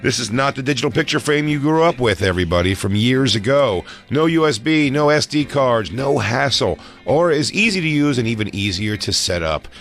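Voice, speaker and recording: Man, comedy podcast host, lively pitch, loud riffing banter. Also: a little fast, hardly any pauses, soft background music. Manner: loud, riffing, slipping into silly voices.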